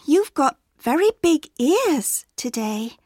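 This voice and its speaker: A woman voicing a sweet, innocent little girl with a high, wide-eyed delivery. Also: big pitch swings, slow, darkish tone, no room sound, studio quality, exaggerated voice. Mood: wondering.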